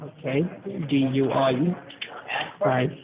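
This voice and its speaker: A man's voice: mid-range voice, monotone, slow pace. A man calling by telephone, giving a formal, official-sounding scripted spiel with step-by-step instructions. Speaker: phone scam caller posing as a tax officer. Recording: tinny phone line with crowd chatter.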